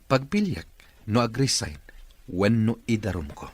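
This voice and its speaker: A man's voice: on the low side, animated, unhurried, no room sound. A man with an Ilocano Filipino accent playing a stern, wealthy boss who uses a wheelchair, in a radio drama. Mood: commanding.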